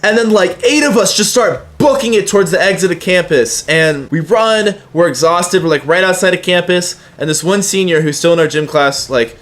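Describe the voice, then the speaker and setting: A man's voice: high-pitched, normal speed, few pauses, a bit bright. A young man telling personal anecdotes in a casual, slangy, sometimes profane way, acting out the dialogue as he goes. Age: young adult. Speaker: YouTuber telling personal stories.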